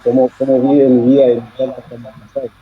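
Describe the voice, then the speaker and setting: A man from Chile in his thirties, a guest in a live interview, talking in halting, self-correcting phrases. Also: mid-range voice, level tone. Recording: dull lo-fi audio.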